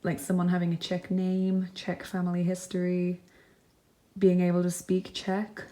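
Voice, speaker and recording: A woman with a lowish, mellow voice talks through a tarot and charm reading. She speaks gently and reassuringly, musing aloud with frequent ums and likes. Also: completely flat delivery, unhurried. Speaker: tarot reader. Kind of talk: pick-a-card tarot reading.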